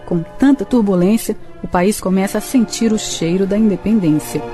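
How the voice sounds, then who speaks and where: A woman's voice: mid-range voice, normal speed. A woman with a Brazilian accent reading scripted historical narration for a radio drama. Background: music.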